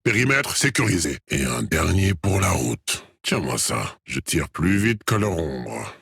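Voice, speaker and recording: A man playing a cocky, cool video game agent, delivering short tactical lines with confident swagger in a husky, raspy voice. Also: low voice, very animated, a little slow, fairly bright tone, no echo, studio quality, heavily acted. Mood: relaxed, cocky.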